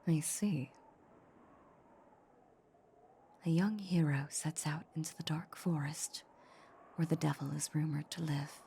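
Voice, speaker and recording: Woman acting a low, breathy, seductive vampire mistress. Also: steady tone, a little hiss or hum. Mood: quietly curious. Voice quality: breathy, often half-whispered. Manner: slow, teasing, condescending purr. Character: seductive, possessive female vampire, teasing her human captive. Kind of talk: intimate roleplay audio drama. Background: soft ambient sound effects.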